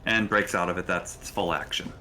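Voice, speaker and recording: Man, low bright voice, game master, halting tabletop narration, faint music behind.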